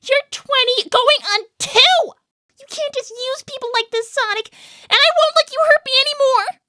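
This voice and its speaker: Woman voicing fiery young female hedgehog, squeaky-high and bright, indignant streak. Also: moderate pace, dry recording, clean studio sound. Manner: gushing, dramatic, indignant. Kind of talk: fan-made cartoon voice acting.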